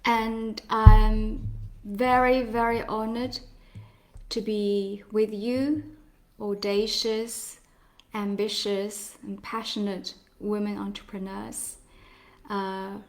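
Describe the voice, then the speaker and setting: A woman in her forties from China, a healer giving a slow, gentle live talk with pauses and soft laughs. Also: mid-range voice.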